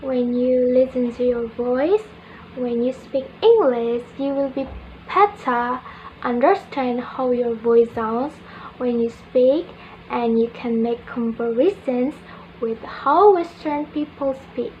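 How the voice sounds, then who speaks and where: A young woman with an Indonesian accent, a university student giving a prepared spoken reflection in English, slow and careful, calling her listeners "guys". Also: a little high, dull audio, a little hiss or hum. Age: young adult.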